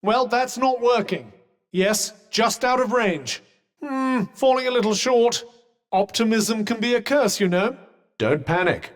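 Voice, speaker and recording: An actor voicing a snooty, sardonic talking magical cuff in a video game, with a refined British accent and a dry, pompous manner. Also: male voice, very high voice, expressive, unhurried. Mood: brisk advice. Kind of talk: game companion combat barks. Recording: long hall-like reverb.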